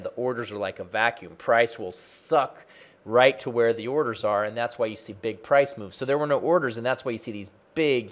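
Man in his forties, mid-pitched, steady teacher walking viewers through a chart; close desk mic, small home office. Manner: plain explanatory, pointing at the chart as he talks. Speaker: trading educator. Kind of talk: screen-share chart tutorial. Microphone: close desk mic, webcam framing.

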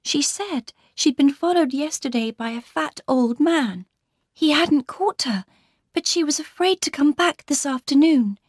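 A frightened young gentlewoman played by a woman narrator, high and emotional. Her indignant, distressed speech swoops around in an audiobook drama. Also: expressive, measured pace, dry recording, studio quality. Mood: anxious, urgent. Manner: distressed, exclamatory.

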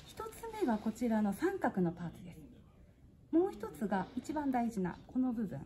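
A woman's voice: on the high side, very animated, normal speed, dark tone. A woman museum guide giving a polite, patient demonstration to a visitor, explaining step by step in a formal way and asking the visitor questions along the way. She is recorded on a visitor's phone inside a museum hall. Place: indoor museum exhibition hall. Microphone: phone camera on a gimbal held by a visitor. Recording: phone recording with clear hall background noise.

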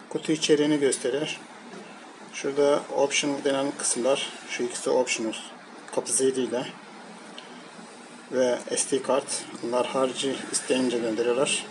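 A man giving a casual, unscripted hands-on product commentary, explaining piece by piece in a halting way. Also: medium pitch. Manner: halting, piecemeal explaining while handling items. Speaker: product reviewer. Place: indoors at a table, hands-on tabletop shoot. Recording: noisy amateur home recording. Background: clear background noise.

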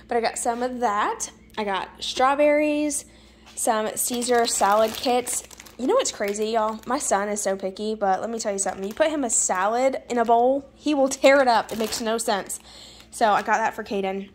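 Woman vlogger in her thirties, high-ish voice, chatty and laughing, home kitchen, faint noise behind. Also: moderate pace. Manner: chatty, laughs often, talks to viewers as y'all. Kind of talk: casual grocery haul chat.

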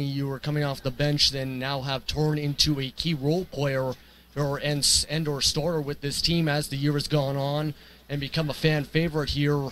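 A man's voice: on the high side, fairly bright tone. A man in his twenties working as a sports co-host, asking wordy, rambling post-game interview questions. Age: 20s. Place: indoor basketball arena, courtside. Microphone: handheld microphone. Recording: clear arena background noise.

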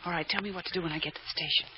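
An actress playing an anxious but loyal accomplice on the run in an old-time radio drama, fretful and questioning. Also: female voice, fairly low pitch, fairly even intonation, very fast, crowd noise, close to an everyday voice. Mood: anxious, compliant. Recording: muffled, noisy old radio recording.

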